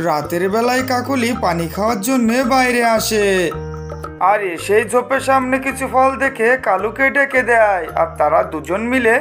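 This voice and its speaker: A woman's voice: a little high, animated, moderate pace. A woman narrating a scripted children's story as the storyteller. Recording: background music. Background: music.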